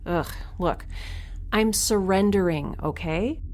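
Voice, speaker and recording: A woman's voice: mid-range voice, slow pace, natural voice. A woman playing a wry, flustered young woman alone on a spaceship, in a casual, hesitant, sarcastic audio drama style. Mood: wry, bluffing. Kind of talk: naturalistic sci-fi audio drama acting. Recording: faint ship ambience noise.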